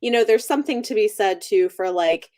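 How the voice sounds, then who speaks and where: A woman's voice: mid-range voice, animated, brisk, darkish tone. A woman hosting a casual livestream book chat, talking conversationally and thinking aloud as she goes. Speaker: book channel host.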